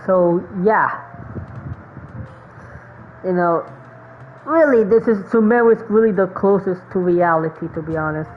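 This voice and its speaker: A woman with a Filipino accent giving casual, rambling game commentary, halting and repeating phrases. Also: on the low side. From Philippines. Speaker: game streamer. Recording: phone-like narrow sound, slight noise.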